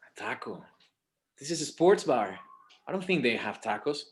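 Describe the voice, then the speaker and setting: A man playing a patient, slightly exasperated adult son in a screenplay table read, casual and halting, with bits of Spanish. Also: medium pitch, very animated, lightly acted. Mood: mildly exasperated.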